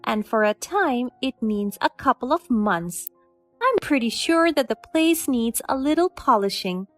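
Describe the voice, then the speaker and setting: A woman's voice: on the high side, big pitch swings, a little slow, fairly bright tone, dry recording, faint music behind. A woman voicing a bossy, domineering older mother-in-law who talks with haughty authority. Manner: haughty, commanding.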